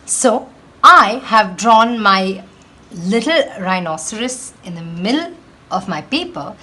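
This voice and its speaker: Woman, Indian accent, children's teacher, lively intonation, emphatic questions, echoey room, distant mic. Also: medium pitch, slow pace. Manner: instructive, emphatic, rhetorical questions. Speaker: schoolteacher hosting a read-aloud for children. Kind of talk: children's lesson, explaining with questions. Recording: roomy echo, distant mic, faint noise.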